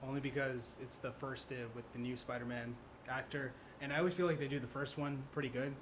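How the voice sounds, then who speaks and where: A man's voice: mid-range voice, fairly even intonation, quick pace, noisy background. A man speaking as a podcast guest, chatting casually about films.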